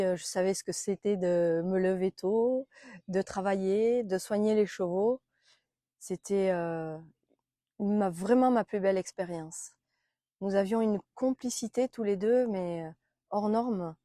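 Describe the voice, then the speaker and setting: A woman born in Belgium and raised in southern France tells her life story in a hesitant way, pausing often with fillers and growing soft and quiet. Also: mid-range voice, no room sound, clean studio sound. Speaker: naturopath interviewed about her life. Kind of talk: reflective personal storytelling.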